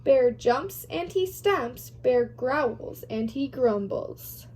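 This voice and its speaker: Slow, high-voiced woman reading a storybook. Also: animated, a little mellow, slightly rough. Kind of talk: read-aloud of a picture storybook for young children.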